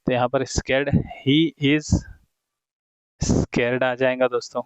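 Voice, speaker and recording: A man's voice: mid-range voice, flat delivery, clean tone, no room sound, dull audio. Friendly Hindi-speaking English teacher, man. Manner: slow, deliberate reading of English example sentences; friendly, addresses viewers as friends. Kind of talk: explaining a textbook lesson to viewers.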